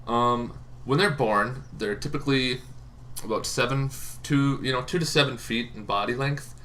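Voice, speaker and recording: A man hosting a tabletop gaming channel, explaining casually off the cuff in an informal, halting way, as if thinking aloud. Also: medium pitch, bright, forceful tone. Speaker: host of a tabletop roleplaying lore channel. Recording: noticeable background noise.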